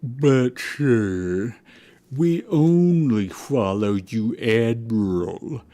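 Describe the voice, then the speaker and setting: Man as a slow, grave, loyal lieutenant. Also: mid-range voice, lively intonation, mellow. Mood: earnest, hushed. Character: grave, loyal lieutenant questioning his admiral.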